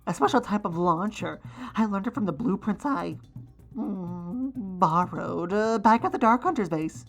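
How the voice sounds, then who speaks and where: A woman voicing a wry, scheming villain inventor in an animated fan dub, dry and smug. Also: medium pitch, unhurried, soft background music.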